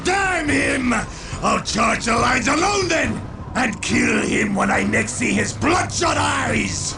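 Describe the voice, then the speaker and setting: An actor playing a bloodthirsty, arrogant warlord in a video game, with a bombastic, menacing delivery. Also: male voice, extremely high pitch, lively intonation, takes it slowly, gravelly, shouting, loud music behind the voice, over a noisy crowd, exaggerated voice. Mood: furious. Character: bloodthirsty, arrogant male Chaos warlord, warrior fanatic. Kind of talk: theatrical video game voice acting.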